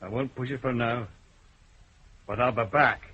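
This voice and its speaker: A man's voice: mid-range voice, fairly even intonation, normal speed, pushed and bright, slightly rough. A man acting a dry, dogged police chief inspector with terse, probing questions in a radio crime drama. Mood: probing, firm. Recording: dull, noisy old radio broadcast recording.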